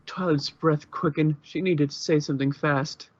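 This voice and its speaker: Higher-voiced man narrating, very dry sound. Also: steady tone, normal speed, no echo, dull audio. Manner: informal, occasionally stumbling. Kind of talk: amateur narration of fan fiction.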